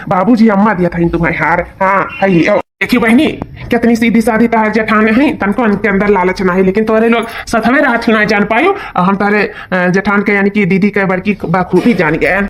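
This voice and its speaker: A man's voice: high-pitched, close to an everyday voice. Generous village brother , acted by a man, Awadhi accent, earnest and insistent, cartoon family comedy. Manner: insistent, explanatory, repetitive.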